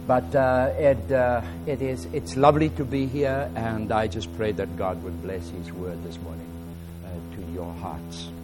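A man with an Afrikaans first language and a South African accent, preaching a relaxed, halting sermon with many fillers and reading scripture aloud more loudly. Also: lowish voice, over music, poor audio. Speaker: guest preacher.

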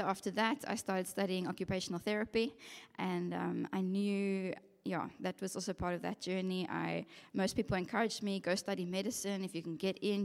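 Young south african woman, lowish voice, earnest church testimony, thinks out loud and corrects herself. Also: gravelly. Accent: South African English. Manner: earnest and reflective, self-correcting. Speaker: church member giving testimony. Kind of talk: personal testimony to a live audience.

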